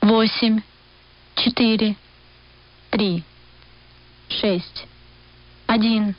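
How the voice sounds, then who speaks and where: A woman reading out numbers one at a time in a flat, measured way. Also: medium pitch, no echo. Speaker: numbers station voice. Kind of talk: reading digit groups. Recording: dull lo-fi shortwave recording.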